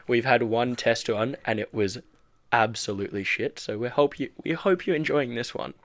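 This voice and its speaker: A man's voice: mid-range voice, normal speed, dry recording. A young man with an Australian accent, co-hosting a podcast in cheeky, hyped casual chat with some swearing. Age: young adult. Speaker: podcast co-host.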